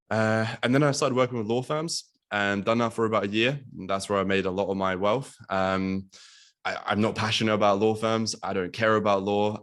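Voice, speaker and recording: A young man from Latvia talks loosely over a video call, his voice low. He fills his speech with ums and likes and swears casually. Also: fairly bright tone. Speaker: guest business coach.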